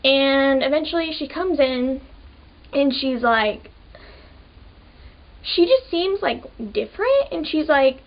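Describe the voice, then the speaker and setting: Chatty high-voiced woman of twenty. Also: animated, slow pace, pauses often, highs cut off. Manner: casual, chatty, many "like" fillers. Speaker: vlogger. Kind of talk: personal storytelling to camera.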